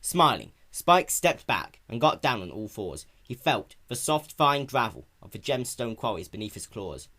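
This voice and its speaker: A man's voice: mid-range voice, big pitch swings, normal speed, hardly any pauses, pushed and bright. A man narrating a piece of fan fiction as a dramatic reading.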